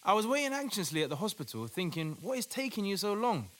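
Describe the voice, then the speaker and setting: A man's voice: sits high, very animated, quick pace, fairly bright tone. An actor playing an earnest, devout male doctor who takes charge in an audio play. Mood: earnest, amazed. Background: music.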